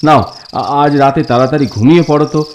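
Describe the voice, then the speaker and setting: An actor playing an eager, bossy middle-aged village husband in a cartoon, with an excitable way of repeating his phrases. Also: a little high, quick pace, slight background noise, lightly acted. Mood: reassuring, pleased. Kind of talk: cartoon voice acting.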